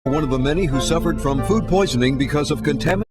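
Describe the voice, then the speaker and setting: A man's voice: fairly high pitch, fast talker. A man reading a legal advertisement voice-over as a commercial announcer. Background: loud music.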